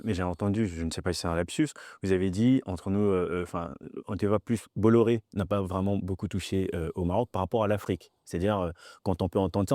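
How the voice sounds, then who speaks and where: Male interviewer, lowish pitch, dry sound, probing, stumbling delivery with reworded questions. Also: warm tone, no room sound.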